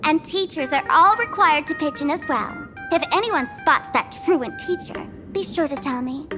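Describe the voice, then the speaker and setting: High-voiced, scheming villainous school dean. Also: female voice, expressive, moderate pace. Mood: sweetly commanding. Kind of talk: video game voice acting. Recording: music behind the voice. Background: game music.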